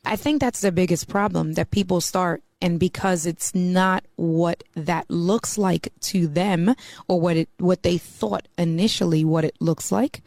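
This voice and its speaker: A woman's voice: on the low side, unhurried, creaky, darkish tone, dry recording. A woman from the East Coast of the US, a mortgage banker talking as a podcast guest in a chatty way, full of "you know.". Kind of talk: casual podcast conversation.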